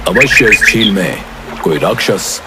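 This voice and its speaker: A man voicing a wise old monkey chief in an animated fable, speaking gravely and formally in deliberate phrases. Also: on the low side. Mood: wary, pondering. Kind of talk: Hindi animated fable dialogue. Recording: loud music behind the voice.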